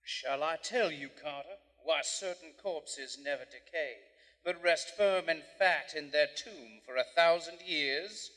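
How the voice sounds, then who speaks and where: A sinister occult scholar acted by a man speaks with theatrical, gloating menace. His slightly high, piercing voice echoes in a large hall in a live radio horror drama. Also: measured pace, bright, forceful tone. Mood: sinister, fascinated. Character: domineering occult scholar, gloating over morbid secrets.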